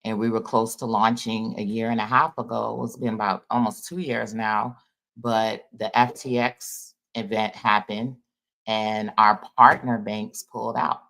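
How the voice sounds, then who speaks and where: A man's voice: lowish voice, little pitch movement, unhurried, vocal fry, a bit bright, poor audio. A man giving a loose, rambling spiritual talk to his viewers in an informal, folksy way. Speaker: spiritual vlogger. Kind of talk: casual spiritual monologue.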